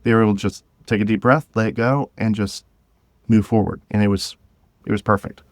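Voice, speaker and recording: Male podcast guest, lowish voice, dry close sound, informal and halting, enthusiastic conversational delivery. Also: fairly even intonation, no echo. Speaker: podcast guest, drama creator. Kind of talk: casual interview chat.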